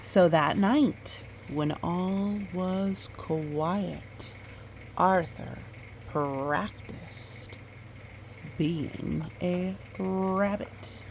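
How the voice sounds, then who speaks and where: A woman reading a picture book aloud in storytime style, with expressive, drawn-out dramatic phrasing and chatty asides. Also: on the low side, a little hiss or hum, low-quality recording.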